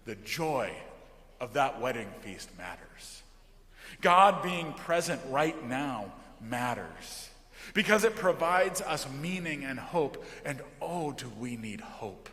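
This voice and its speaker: American male pastor, sermon delivery, unhurried, earnest, emphatic with soft phrase endings, echoey hall with long reverb. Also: mid-range voice, sing-song. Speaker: pastor preaching. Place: large indoor church during worship.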